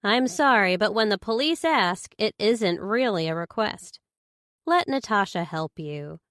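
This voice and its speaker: A woman voicing a kind middle-aged mother in an audiobook, gentle, reassuring and persuasive. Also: mid-range voice, animated, unhurried, no echo, clean studio sound. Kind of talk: audiobook character dialogue.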